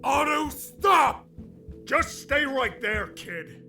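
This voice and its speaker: A man voicing a desperate middle-aged father who shouts and pleads frantically. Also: extremely high pitch, very animated, drawn-out words, creaky, faint music behind, pitched above the performer's own voice, full character voice. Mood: frantic.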